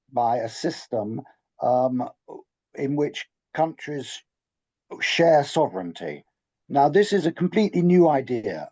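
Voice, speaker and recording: A man speaking courteously and in measured terms in a group discussion. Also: mid-range voice, dark tone, muffled recording. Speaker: discussion participant.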